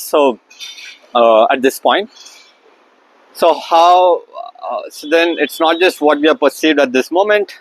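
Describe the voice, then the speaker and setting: A middle-aged man talks through his ideas in a loose, reflective monologue. His pitch sits in an ordinary middle range for a man, and he often pauses on "uh" and restarts his sentences. He was recorded on his own camera, set up across the room. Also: rough recording. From India. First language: Tamil. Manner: thinking aloud with many fillers and restarts. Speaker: host.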